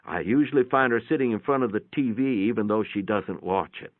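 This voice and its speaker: A low-pitched male narrator reads a first-person story in a folksy, conversational way. The recording is narrow and radio-like, and dry, with very little room around the voice. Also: animated, quick pace, no echo. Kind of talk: dramatic reading of a first-person short story. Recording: thin, band-limited, radio-like sound.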